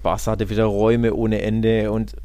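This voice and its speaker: A man's voice: lowish voice, completely flat delivery, normal speed, mellow, smooth, no room sound. A man hosting a football podcast, chatting casually and giving opinionated, colloquial commentary on the game. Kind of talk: casual football talk with live match commentary.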